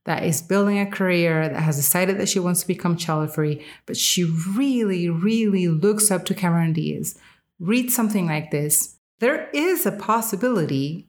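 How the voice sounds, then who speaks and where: Woman, lower-pitched voice, podcast host, opinionated solo commentary, emphatic, candid, informal. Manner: emphatic, candid, with casual swearing.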